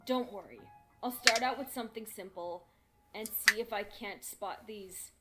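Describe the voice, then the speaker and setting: A woman voicing an earnest young unicorn in a fan story reading, polite and soft-spoken. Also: medium pitch, moderate pace, loud music behind the voice, natural voice. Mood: earnest, reassuring. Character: earnest young female unicorn student turned mentor. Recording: clear background noise.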